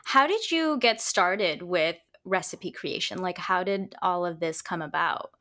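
A woman's voice: on the low side, lively intonation, unhurried, bright, forceful tone. Woman hosting a podcast interview. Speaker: podcast host.

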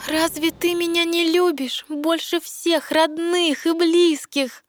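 A woman playing a gentle, lovesick young mermaid princess in a plaintive, tender manner for a fairy-tale audio play. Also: very high-pitched, flat delivery, slow, no echo. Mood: tender pleading.

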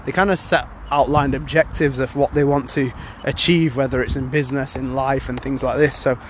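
A man's voice: medium pitch, fairly even intonation, brisk, slight background noise. A man hosting a casual solo podcast, sounding congested from a cold and rambling with many fillers and restarts. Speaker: podcast host.

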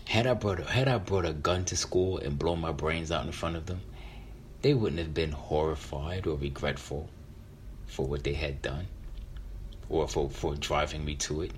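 A middle-aged man shares a personal story in a deep voice. He is reflective and draws out phrases for dramatic weight. There is faint noise behind him. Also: lively intonation, a little slow. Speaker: featured storyteller.

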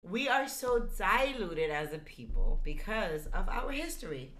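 An American woman hosting a podcast, telling stories in an emphatic, animated way in casual group chat. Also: medium pitch, a little slow, over a noisy crowd, low-quality recording. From United States. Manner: emphatic storyteller, animated, laughs often. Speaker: podcast host.

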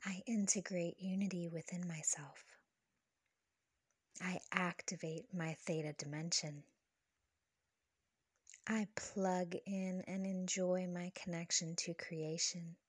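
A woman with a slightly low, breathy voice leads a guided meditation. She speaks very slowly and soothingly, measuring out each phrase of her scripted affirmations. The sound is soft and close, with a very dry recording. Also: fairly even intonation, no room sound. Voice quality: soft, breathy, partly whispery. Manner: soothing, slow, measured delivery.

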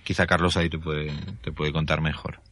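A man's voice: very deep voice, little pitch movement, brisk, a bit bright, dry recording. A man, a leadership researcher explaining his work earnestly in an interview, with many restarts.

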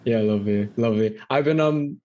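A man's voice: medium pitch, fairly quick, warm tone, highs cut off. A young man from Indonesia co-hosting a casual podcast, telling stories in a rambling way with lots of "like" and laughter. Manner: rambling, heavy filler "like", laughs often. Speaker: podcast co-host. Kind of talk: casual chat.

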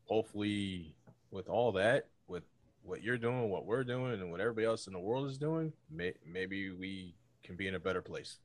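Host: deep-voiced man, sincere, halting. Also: expressive. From Greek heritage. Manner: sincere, hesitant, repeats words. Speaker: podcast host. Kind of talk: casual podcast chat.

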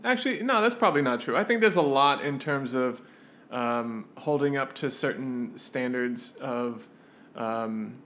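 A man co-hosting a podcast in casual chat, with a joking, halting way of talking. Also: medium pitch, lively intonation, a bit bright. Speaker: podcast co-host.

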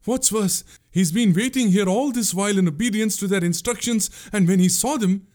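A man's voice: high-pitched, moderate pace, darkish tone, pitched above the performer's own voice, lightly acted. A man voicing a fiery, distraught young woman in a historical tale, breathless and accusing. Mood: ashamed, furious.

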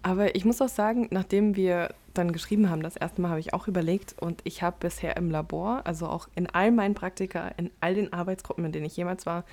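A woman's voice: lowish voice, steady tone, fast, hardly any pauses, darkish tone. A young woman hosting an interview in a casual, conversational way. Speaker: interviewer, host.

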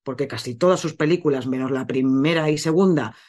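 A man's voice: medium pitch, brisk, a little mellow. A man hosting a casual film podcast, chatty and correcting his own slips as he goes. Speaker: podcast host presenting a film.

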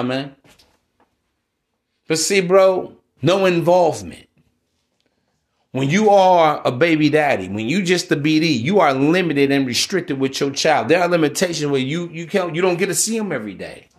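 A man with an American accent giving an informal, blunt monologue to listeners, slangy and preachy, repeating himself for emphasis. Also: a little high. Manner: emphatic repetition. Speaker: podcast host.

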